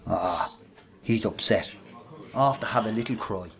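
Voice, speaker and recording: A man's voice: lowish voice, measured pace, noisy background. A man acting in a radio drama as a mocking male workplace bully, with a taunting manner. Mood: dismissive. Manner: taunting, mimics stammers. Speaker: actor in a radio play.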